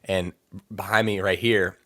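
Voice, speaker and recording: Deep-voiced man rambling casually. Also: steady tone, unhurried, bright, forceful tone, no room sound. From Maryland, USA. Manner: rambling, filler-heavy, laughs easily. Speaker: podcast guest, metal vocalist. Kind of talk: casual interview chat.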